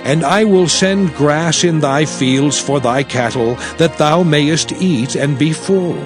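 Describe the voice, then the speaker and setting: A man playing a solemn prophet exhorting his people in a measured, declamatory scripture drama. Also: fairly high pitch. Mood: solemn exhortation. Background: music.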